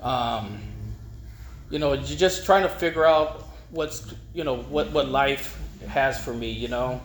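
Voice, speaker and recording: A middle-aged man gives an informal personal testimony, rambling and haltingly repeating words. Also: mid-range voice. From East Los Angeles, United States. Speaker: speaker at a recovery meeting. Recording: echoey room with faint noise.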